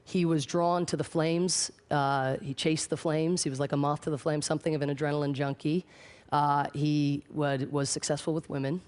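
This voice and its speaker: A man's voice: a little high, flat delivery, moderate pace, darkish tone, clean tone. A man giving an anecdotal talk as a public speaker, articulate and rhetorical. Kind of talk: anecdotal talk profiling a person.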